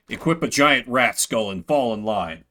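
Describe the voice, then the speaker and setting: An excitable male streamer gives live commentary on a video game. His pitch leaps around a lot and his tone is bright and punchy. He talks in loose, sweary slang and reads bits of game text aloud. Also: unhurried.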